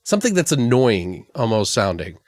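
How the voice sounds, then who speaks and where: A man's voice: medium pitch, very animated, normal speed, a little mellow, dry recording, studio quality. A man co-hosting a podcast in casual comedic brainstorming, rambling and joking crudely. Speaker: podcast co-host.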